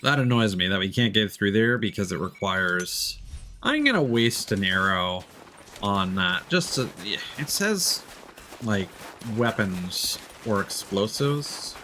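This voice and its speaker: A man's voice: on the low side, very animated, slow, bright, forceful tone, slight background noise. A man doing casual live gameplay commentary as a streamer, musing and thinking aloud. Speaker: game streamer.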